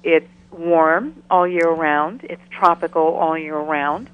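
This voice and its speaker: A middle-aged woman who grew up in Ghana, answering interview questions over the telephone in a measured, thoughtful way, often restarting her sentences. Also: low voice, steady tone. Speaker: interview guest, biochemistry professor. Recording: tinny phone line, dry.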